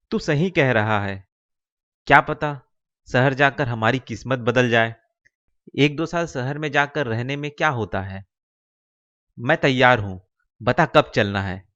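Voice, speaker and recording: A man's voice: medium pitch, a little slow, long pauses, bright, forceful tone, highs cut off. A man playing a simple, dutiful young village man in a cartoon story, mild and agreeable in the way he talks. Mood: friendly. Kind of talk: Hindi cartoon moral-story voice acting.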